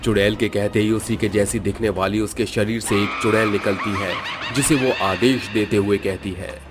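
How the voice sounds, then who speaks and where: A man's voice: lowish voice, fairly even intonation, normal speed, heavy background noise. A man narrating a scripted horror cartoon story in a dramatic storytelling manner.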